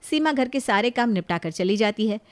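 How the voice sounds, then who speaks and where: High-pitched woman narrating a story. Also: animated, brisk. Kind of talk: scripted moral story narration.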